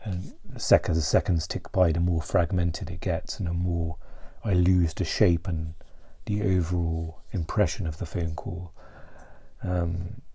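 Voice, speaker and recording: Very deep, subdued man, hesitant. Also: fairly even intonation, mellow, husky, no room sound. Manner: hesitant, halting, searching for words. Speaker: mental health vlogger. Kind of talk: confessional vlog monologue.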